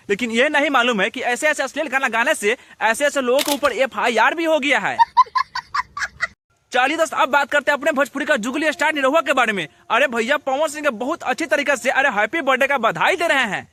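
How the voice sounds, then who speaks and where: Very high-pitched Bhojpuri-accented man narrating gossip. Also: a little fast, noisy background. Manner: teasing, exclamatory. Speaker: host of a Bhojpuri entertainment gossip video channel. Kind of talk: scripted comic narration of celebrity gossip.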